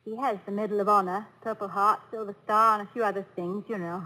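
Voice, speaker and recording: An actress playing a poised, playful college president's wife in a 1950s radio comedy-drama, gracious and briskly instructive. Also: female voice, sits high, animated, sharp tone, slightly rough, full character voice. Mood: affectionate teasing. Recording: noisy old radio broadcast recording.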